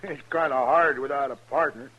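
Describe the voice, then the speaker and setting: An actor playing a hospitable old buffalo hunter with an American Western accent and a genial, folksy manner. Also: male voice, medium pitch, moderate pace, close to an everyday voice. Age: older. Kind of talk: 1950s radio western acting. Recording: muffled, hissy old radio recording.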